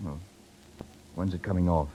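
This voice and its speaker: A man's voice: low-pitched, fairly even intonation, moderate pace, mellow, slightly rough. An actor playing a brash, slangy young office man who is tongue-tied about love, 1930s radio style. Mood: awkward, resigned. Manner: slangy, casual. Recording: noisy 1930s radio recording.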